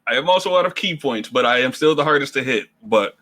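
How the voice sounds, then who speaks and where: A man's voice: mid-range voice, lively intonation, fast talker, pushed and bright. A man talking casually as a player in a tabletop role-playing game.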